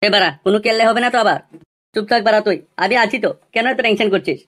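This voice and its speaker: A woman's voice: mid-range voice, very fast, a bit bright, close to an everyday voice. A woman voicing cheeky, brash young village lads in an amateur comedy cartoon, with slangy, crude and insistent talk.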